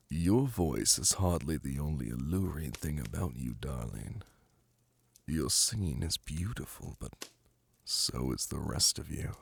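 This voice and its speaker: Guy doing a demon prince asmr, slow gravelly voice, formal and flirty, bossy but doting, super dry close sound. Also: a bass voice, no room sound, heavily acted. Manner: silky, formal, courtly, flirtatious. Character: commanding, seductive male demon prince, doting yet imperious. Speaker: ASMR roleplay voice actor. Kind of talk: ASMR fantasy roleplay monologue.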